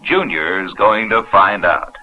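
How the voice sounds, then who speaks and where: Deep-voiced American man narrating, dated film sound. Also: animated, slow. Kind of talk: 1970s instructional film scripted narration. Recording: dull, noisy vintage 16mm film soundtrack.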